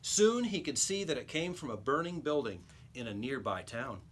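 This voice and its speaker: A man reading a children's storybook aloud like a teacher, with expressive, emphatic storytelling. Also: medium pitch, moderate pace, slight background noise.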